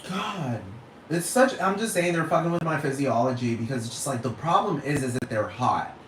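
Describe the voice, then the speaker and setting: A man's voice: medium pitch, lively intonation, normal speed, a bit bright, slight background noise. Rambling Puerto Rican man ranting solo. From Puerto Rico, raised in Texas. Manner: rambling, profane, keeps asking "do you understand". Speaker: podcast host. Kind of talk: solo podcast rant. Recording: roomy room with faint ambience.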